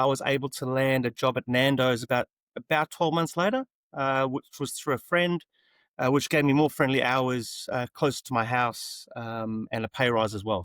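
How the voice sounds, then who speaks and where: A man chats as a podcast guest. His voice sits at a middle pitch, and he tells stories in an easygoing, matey way. The recording is dry, without room sound. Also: a bit bright, no room sound. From South Africa, later Australia. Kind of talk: casual interview storytelling.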